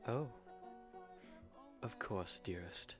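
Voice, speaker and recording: A man acts out a devoted young gentleman newlywed in a romantic ASMR roleplay. His voice is low, and he speaks earnestly in formal, old-fashioned phrases over music. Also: extremely slow. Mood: stunned joy.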